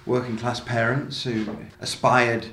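A middle-aged man from Manchester reflecting in an interview, halting and often repeating himself. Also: medium pitch, flat delivery, a bit bright, a little hiss or hum. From Manchester, England. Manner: halting, self-repeating delivery. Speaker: interviewee, designer. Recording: echoey room.

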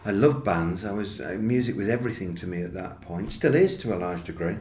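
Middle-aged male interviewee, low voice, halting and conversational, roomy echo. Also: animated, a little mellow. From Manchester, England. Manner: halting, self-repeating delivery. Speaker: interviewee, designer. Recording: echoey room.

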